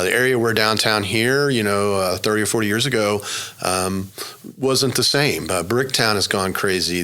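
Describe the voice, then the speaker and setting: An American man in his fifties from Oklahoma, a military general giving measured, earnest answers as an interview guest. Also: on the low side, pushed and bright. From Oklahoma, United States. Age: 50s.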